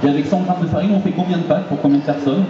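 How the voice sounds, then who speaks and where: A man hosting a live cooking demonstration on stage at an indoor fair, with upbeat, flattering patter to warm up the crowd. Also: a little high, racing, background noise. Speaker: event host. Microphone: handheld microphone. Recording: distant, echoey, muffled hall recording. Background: crowd chatter.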